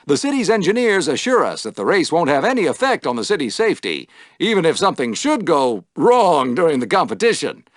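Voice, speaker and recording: An actor playing an exuberant, wry podrace announcer in a video game, with a showy, dramatic broadcast delivery. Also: male voice, on the high side, big pitch swings, a little fast, no echo, clean studio sound. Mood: showy, enthusiastic. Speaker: sports announcer. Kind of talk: theatrical sports commentary for a video game.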